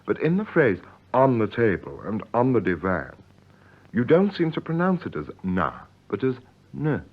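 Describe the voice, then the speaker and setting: Male co-presenter for a 1950s language broadcast, deliberate, varied melody, dull old recording. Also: medium pitch, sing-song, breathy edge. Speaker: learner and co-presenter. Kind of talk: scripted radio language lesson, 1950s broadcast.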